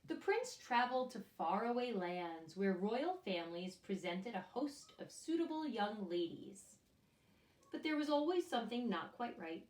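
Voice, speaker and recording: A woman reading a picture book aloud to young children, expressively, often turning to them with questions and asides. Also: mid-range voice. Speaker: children's storyteller and teacher. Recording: echoey room, distant microphone.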